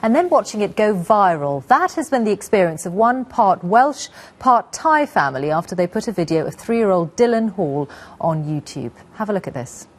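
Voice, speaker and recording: A woman's voice: mid-range voice, big pitch swings, normal speed. A woman presenting the news in a studio and interviewing a guest, polished and lightly amused.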